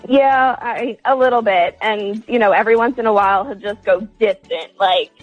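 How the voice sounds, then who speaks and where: A woman's voice: mid-range voice, moderate pace, lo-fi sound, faint music behind. A woman calling a radio show, talking casually and candidly in a hesitant, wordy way. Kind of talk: casual, candid relationship talk.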